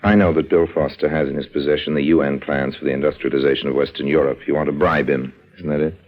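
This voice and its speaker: A man's voice: a bass voice, steady tone, quick pace. An actor in an old radio drama playing a wry American con man, urbane and understated, with an American accent. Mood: coolly blunt. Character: smooth, wry American con man, first-person narrator. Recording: dull vintage radio recording, music behind.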